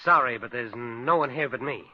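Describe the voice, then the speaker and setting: A man's voice: medium pitch, normal speed, no room sound, close to an everyday voice. An actor playing a polite, chatty but sinister gunman in a 1940s radio drama. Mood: politely evasive. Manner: mild, courteous chatter that turns to menace. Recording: dull lo-fi 1940s radio recording.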